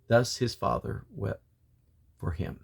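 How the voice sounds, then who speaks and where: A man reading the Bible aloud as narration, with deliberate phrasing. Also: lowish voice, expressive, creaky, slightly rough. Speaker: Bible reader. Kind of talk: read-aloud scripture narration.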